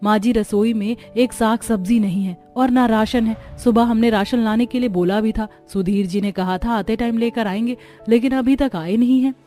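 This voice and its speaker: A woman's voice: fairly high pitch, moderate pace, hardly any pauses, warm tone, faint music behind, lightly acted. A woman voicing a worried, complaining daughter-in-law of a poor household in a cartoon story, plaintively.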